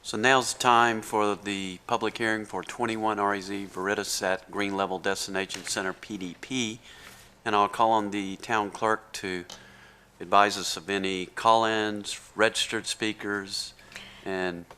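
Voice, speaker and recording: A man runs the council meeting, calling the public hearing in a measured, formal tone. His voice is forceful and bright but sits low, with faint room noise behind him. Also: level tone. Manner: measured, procedural. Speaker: mayor chairing the meeting. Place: town council chamber.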